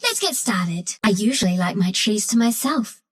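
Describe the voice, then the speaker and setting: A woman's voice: medium pitch, lively intonation, normal speed, husky. A woman voicing a cocky, mischievous little furry forest sharpshooter for a video game, with an Australian accent and a cheeky, breezy, slangy delivery. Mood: teasing. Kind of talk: video game character voice lines.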